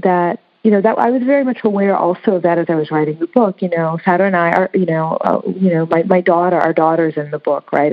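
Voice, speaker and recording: Soft-spoken woman, halting and reflective. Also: fairly low pitch. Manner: halting, repeats words while searching for them. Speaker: author being interviewed. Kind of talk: reflective conversation. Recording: dull lo-fi audio.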